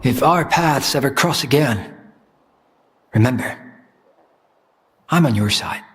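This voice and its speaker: A man's voice: mid-range voice, lively intonation, takes it slowly, a bit bright, a little hiss or hum. A man playing a guarded, resolute ally in a video game, speaking earnestly and seriously. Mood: concerned, loyal. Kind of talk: dramatic video game acting.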